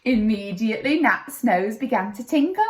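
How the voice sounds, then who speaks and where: A woman's voice: on the high side, lively intonation, normal speed, slight background noise. A woman reading a children's story expressively, with dramatic, playful narration, recorded in an echoey room. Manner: dramatic, playful with sound effects.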